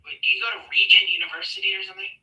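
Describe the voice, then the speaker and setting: A man's voice: high voice, big pitch swings, rapid-fire, gravelly, recorded from a distance, lo-fi sound. A man hosting a casual online debate, sarcastic and mocking, rambling with fillers.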